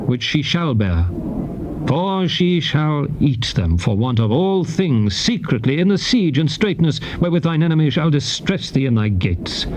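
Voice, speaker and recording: A man's voice: a little high, lightly acted. Man acting a solemn prophet, noisy tape. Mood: grim. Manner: grave, declamatory, measured oratory. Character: Moses, solemn male prophet warning his people of curses. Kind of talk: dramatized scripture reading, formal archaic English. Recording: noisy old cassette tape transfer.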